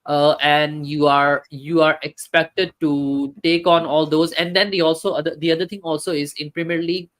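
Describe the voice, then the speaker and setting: A man's voice: fairly high pitch, flat delivery, normal speed, few pauses, fairly bright tone. A man hosting a casual football talk stream, chatting with viewers and reading comments, hesitant with many fillers and restarts.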